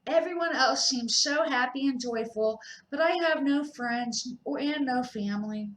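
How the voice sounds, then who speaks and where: A woman's voice: sits high, a little slow, a bit bright, voice pushed up. A shy, lonely storybook monster, played by an older woman. He speaks timidly and hesitantly, nervous around others. The sound is echoey, as in a bare room. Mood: sad, shy.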